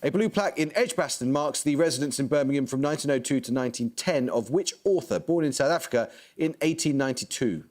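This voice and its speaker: A man runs a quiz show, reading each question in a brisk, formal way. His voice sits in an ordinary middle pitch. Every word is precise and measured. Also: expressive, darkish tone. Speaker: quizmaster.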